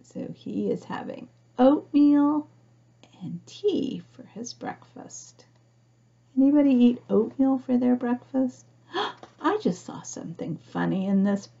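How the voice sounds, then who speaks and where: A woman reads a picture book aloud to young children, pausing to explain things simply and ask the listener questions. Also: a little high, creaky, mellow, husky, lo-fi sound. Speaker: storybook reader for young children.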